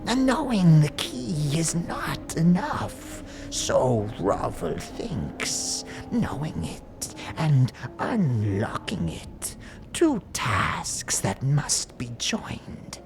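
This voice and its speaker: A woman playing an ancient, cunning hag in an audio drama, speaking in cryptic riddles with a creaky, cackling voice that slips into breathy whispers. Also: low-pitched, wildly swooping pitch, drawn-out words, music in the background, heavily acted. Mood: mocking, secretive. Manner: cryptic, archaic inverted phrasing, lingering and theatrical. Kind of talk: theatrical audio drama acting.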